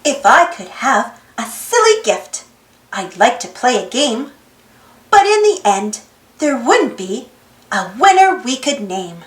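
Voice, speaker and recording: A woman reading a children's storybook aloud in an expressive, singsong rhymed delivery. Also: on the high side, takes it slowly. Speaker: storybook reader, channel host. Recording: roomy echo with music behind. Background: music.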